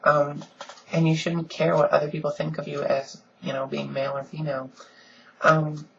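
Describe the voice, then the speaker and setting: A woman with a deep voice she softens a little, talking earnestly and casually in a home vlog with lots of ums. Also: little pitch movement, moderate pace, vocal fry, dull audio, slight background noise. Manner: frequent "um"s, earnest and conversational. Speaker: vlogger. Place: at home, in pajamas.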